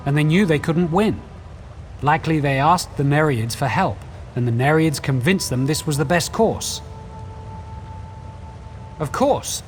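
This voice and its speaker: Fantasy game quest-giver, male actor: scholarly and wary, fairly high voice, formal measured explanations, light music underneath. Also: natural voice. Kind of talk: video game dialogue, explanatory. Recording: faint music behind.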